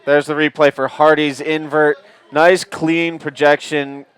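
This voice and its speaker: A man with a booming voice giving joking live play-by-play commentary. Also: flat delivery, measured pace, a bit bright, clean tone. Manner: booming voice, joking, explains details.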